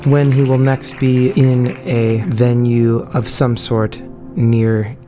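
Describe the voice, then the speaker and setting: A man's voice: medium pitch, level tone, slow pace. A man hosting a podcast intro, casual and rambling, correcting himself as he goes, with his voice worn out from talking. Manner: rambling, self-correcting, chatty. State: losing his voice. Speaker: podcast host. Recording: music playing underneath.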